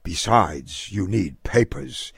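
A man's voice: lowish voice, lively intonation, takes it slowly, gravelly, fairly bright tone, slightly rough, dry recording, clean studio sound, full character voice. A man voicing a haughty, officious dog show official in a storybook, speaking formally with disdain and command. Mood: disdainful.

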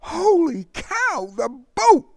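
A man voicing a bold, excitable young boy in a story, with an urgent, exclamatory manner. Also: very high voice, a little slow, creaky, breathy edge, no echo, studio quality, pitched above the performer's own voice, heavily acted. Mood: alarmed.

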